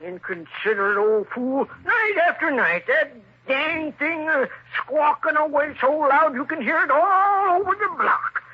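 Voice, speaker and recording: A man's voice: very high voice, lively intonation, a little slow. An actor playing a cranky, blustering older male neighbor in a 1950s radio drama. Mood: irritated. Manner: blustering, indignant. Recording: dull old radio broadcast.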